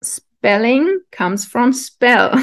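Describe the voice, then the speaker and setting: Woman, kinda high voice, talks real slow and thoughtful, little soft laughs. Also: lively intonation. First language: German. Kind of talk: reflective solo talk.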